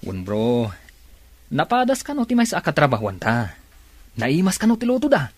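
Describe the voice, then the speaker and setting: A man's voice: fairly high pitch, huge pitch swings, normal speed. A young man acting a chummy workmate in an Ilocano radio drama. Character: chummy young workmate, keen on food and girls.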